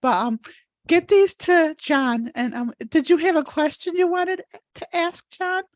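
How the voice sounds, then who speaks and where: Female energy healer and host, distinctly high-pitched, casual and encouraging, close dry recording. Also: unhurried, no room sound. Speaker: host, energy healer leading a live session. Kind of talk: guided healing affirmations and casual chat. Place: live online video call.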